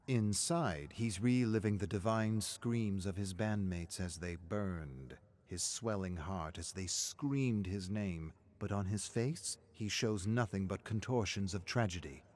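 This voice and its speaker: A man reading dramatic scripted narration of a dark story in a measured, grave manner. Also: on the low side.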